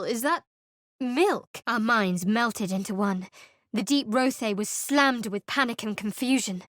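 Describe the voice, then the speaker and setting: A secretive young cleric of a night goddess, voiced by a woman, dry and sardonic, guarding every word in a video game. The voice sits a little high for a woman and is recorded close and dead dry in the studio, with no room at all. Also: no room sound, lightly acted. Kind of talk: video game character voice lines. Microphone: close studio mic.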